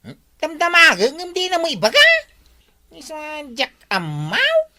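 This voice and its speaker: A chatty, excitable village woman from a radio comedy,. Her voice is very high and bright, and it swoops all over as she exclaims. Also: unhurried, exaggerated voice. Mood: puzzled. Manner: exclaiming, sing-song. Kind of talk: radio comedy drama acting.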